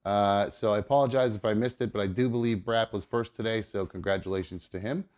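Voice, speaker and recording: Young male host, lowish voice, stuffed-up and gravelly, rambling casual chat full of fillers. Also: fairly even intonation, fairly quick, a little mellow. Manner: rambling, many fillers and restarts. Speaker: podcast host. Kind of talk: casual live show chat with viewers.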